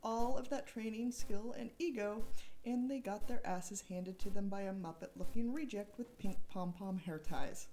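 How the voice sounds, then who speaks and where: A woman's voice: medium pitch, normal speed, dark tone. A woman author giving a dramatic narrated reading of her thriller novel. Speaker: author reading own book.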